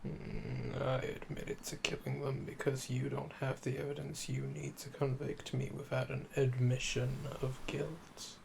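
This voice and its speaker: Male actor as calm yandere assassin, heavy vocal fry, teasing murmurs, lively pitch swings, ASMR roleplay. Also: slight background noise. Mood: smug, flirtatious. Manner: teasing, slow, deliberate, drawn-out phrasing with breathy murmurs. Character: calm, flirtatious, obsessive male assassin under interrogation. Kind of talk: roleplay audio drama, ASMR.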